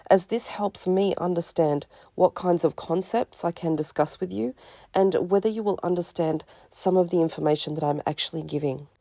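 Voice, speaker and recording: A woman with a slight cold, calmly presenting her spiritual counselling service in a measured, scripted talk. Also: on the low side, dry recording, studio quality. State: a little sniffly from a cold.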